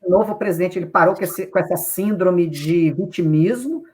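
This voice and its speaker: A man's voice: high voice, normal speed, darkish tone. A man from Brazil with a Brazilian accent, a guest giving casual football analysis, opinionated and swearing casually, often restarting his sentences. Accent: Brazilian Portuguese.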